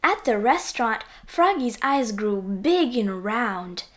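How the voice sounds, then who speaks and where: Lady reading a kids book out loud, super lively and unhurried. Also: a little high. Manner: animated, acting out sound effects. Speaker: reader of children's books. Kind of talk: expressive storybook read-aloud.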